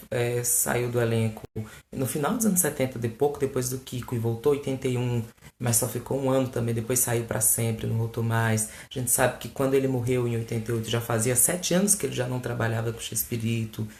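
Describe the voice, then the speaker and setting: A man with a Brazilian Portuguese accent, host of a fan page, answering fan questions in casual chat to camera, animated and exasperated with mocking asides. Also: medium pitch, a little fast. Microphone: phone recording. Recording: echoey room.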